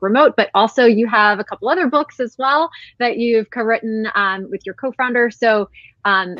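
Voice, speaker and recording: A woman's voice: medium pitch, a bit bright, no echo. A woman in her thirties hosting an interview in an upbeat, chatty way with many fillers, over earbuds on a video call. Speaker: host, company founder. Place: room at home. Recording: dry, dull video call audio.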